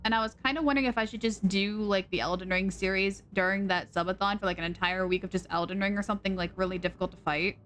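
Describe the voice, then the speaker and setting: A woman streaming a game, chatting casually and rambling as she thinks out loud. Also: mid-range voice, steady tone, quick pace, few pauses, a little hiss or hum. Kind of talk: casual live-stream chat.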